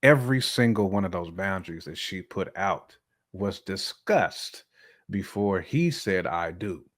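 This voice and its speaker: An American man with a fairly low voice talks casually as a podcast co-host. He states opinions emphatically, circles back to repeat them and slips into imagined quotes to make his point. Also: animated, measured pace. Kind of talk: casual opinionated relationship discussion.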